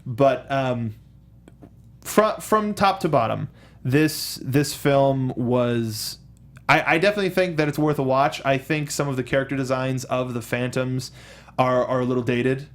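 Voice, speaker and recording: A man hosting a film discussion show, chatting casually in a halting, jokey way. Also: mid-range voice. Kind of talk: casual panel chat about movies.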